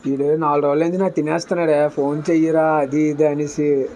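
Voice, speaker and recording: A man with a slightly high voice talks quickly in a casual phone chat. He is coaxing and insistent, with faint noise behind him. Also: little pitch movement, mellow. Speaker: prankster introducing his friend.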